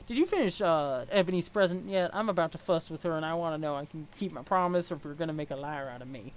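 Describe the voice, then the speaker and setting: A performer plays a young female alien schemer in a fan comic dub. She is brash and teasing, with a sassy, slangy, pushy delivery. Clear noise sits behind the voice. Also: mid-range voice, fast, natural voice. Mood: pushy, annoyed.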